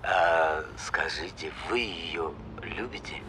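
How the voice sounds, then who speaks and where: An actor playing a good-natured, talkative grandfather in an audio drama phone dialogue, gentle and sometimes hesitant. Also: low-pitched, slow, slight background noise, exaggerated voice. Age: middle-aged or older.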